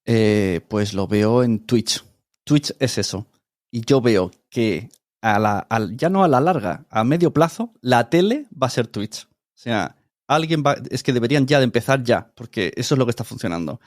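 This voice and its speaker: A man's voice: medium pitch, expressive, moderate pace, dry recording. Spanish male podcaster, dry close sound. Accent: Spain Spanish. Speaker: podcaster guest. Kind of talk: casual conversational interview.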